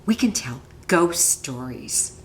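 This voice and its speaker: A woman voicing an eager, excitable young boy full of plans in a storybook, in a room with echo. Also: low-pitched, slow pace, a little hiss or hum, pitched below the performer's own voice. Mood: spooky, hushed. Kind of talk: storybook character reading. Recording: roomy, echoing room sound.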